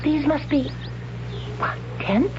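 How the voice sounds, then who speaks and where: A woman's voice: sits high, slow. An actress playing a brave, wondering young tribeswoman in a 1970s radio drama, speaking solemnly in simple phrases. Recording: dull, noisy old radio broadcast with hum.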